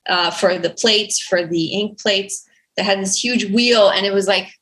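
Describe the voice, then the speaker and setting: A Romanian woman, a native speaker of Romanian, talks slowly and thoughtfully as a podcast guest. Her voice is bright and forward, and she pauses often with fillers and restarts. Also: medium pitch. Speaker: guest artist. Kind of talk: podcast interview.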